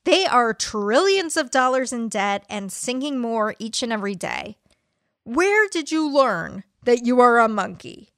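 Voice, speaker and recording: A woman's voice: fairly high pitch, sing-song, measured pace, fairly bright tone, dry recording, studio quality, exaggerated voice. A woman voicing an indignant doomsday preacher in a dramatic reading of a religious tract, with emphatic stresses and rhetorical questions. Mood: indignant warning.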